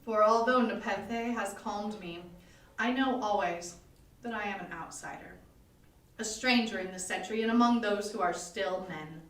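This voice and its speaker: Heard in an echoing room at some distance, a woman performs a lonely gothic outcast. The delivery is brooding, measured and solemn, at an ordinary middle pitch. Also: a bit bright, lightly acted. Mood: bleak, resigned. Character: lonely, melancholy outcast narrator of gothic horror. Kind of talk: dramatic literary reading. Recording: echoey room, distant microphone.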